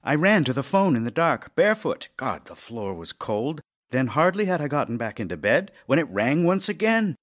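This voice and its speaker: An actor playing a middle-aged Russian playwright in an audio drama, wry and lovesick, with theatrical, deliberate pauses. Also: male voice, mid-range voice, big pitch swings, fairly bright tone. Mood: wry, animated. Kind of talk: theatrical audio drama, reading love letters.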